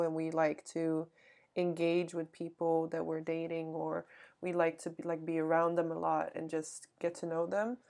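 A young woman on a podcast, talking reflectively and hesitantly, often trailing off softly. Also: lowish voice. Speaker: podcast co-host. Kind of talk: casual chat.

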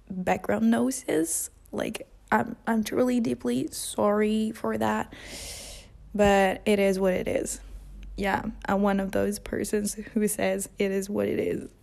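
A woman's voice: mid-range voice, creaky, a little mellow. A young woman, hosting a casual unscripted podcast from her couch, chatty and self-deprecating, recorded without a proper mic. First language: Italian. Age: early 20s. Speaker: podcast host. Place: at home on a couch.

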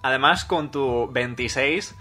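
A man narrating as game master of a tabletop game, calm and explanatory with an occasional joke. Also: mid-range voice, a little slow, soft background music.

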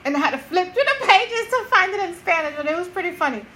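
A woman's voice: very high-pitched, big pitch swings, fast, sharp tone, slight background noise. A woman co-hosting a podcast, telling a story in casual chat with friends and laughing often. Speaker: podcast co-host and notary.

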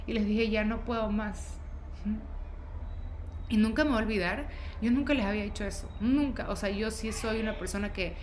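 A woman's voice: medium pitch, normal speed. A woman with a Guayaquil Ecuadorian accent gives a personal, confessional monologue as a host, repeating phrases for emphasis. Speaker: podcast host and yoga teacher. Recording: loud steady background noise.

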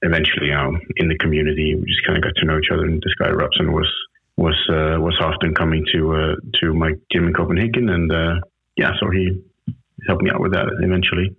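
A man's voice: very deep voice, level tone, fast, vocal fry, slightly rough. A man in his late thirties from Denmark, a guest chatting casually on a call, rambling with many restarts. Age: 30s. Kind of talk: casual interview chat. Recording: tinny phone-like audio.

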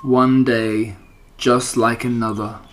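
A man's voice: medium pitch, level tone, slow pace, a bit bright, a little hiss or hum. A man voicing a lonely young pig. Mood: forlorn. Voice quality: pleading, earnest. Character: young lonely male pig, earnest and wistful.

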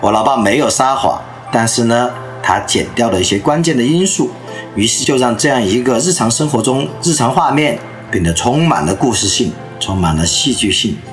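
A man in his thirties or forties giving a recorded lecture, telling stories in a lively way. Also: mid-range voice, moderate pace, faint music behind. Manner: lively storyteller who acts out anecdotes.

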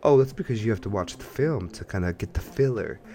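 Animated, low-voiced man on a podcast. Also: a little fast. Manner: animated, rambling storyteller who acts out imagined reactions. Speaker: podcast host. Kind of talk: casual podcast chat. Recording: background music under the voice. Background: music.

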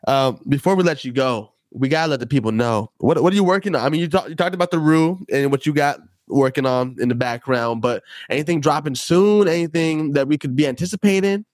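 A man's voice: a little high, lively intonation, a little fast, no echo, clean studio sound. A man from Arizona hosting a casual podcast interview, laughing often and repeating his phrases. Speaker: podcast host.

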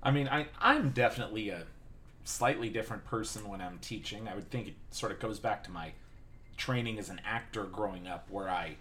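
A man's voice: fairly low pitch, brisk, a bit bright, slight background noise. A man interviewing a fellow teacher, chatty and upbeat. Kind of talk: interview conversation.

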